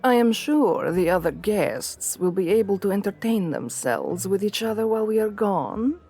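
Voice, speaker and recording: A woman's voice: mid-range voice, expressive, moderate pace, dark tone, breathy edge, faint music behind. A woman playing an assertive, seductive vampire queen in an ASMR roleplay, formal and courtly, coaxing the listener with veiled menace. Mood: gracious, probing.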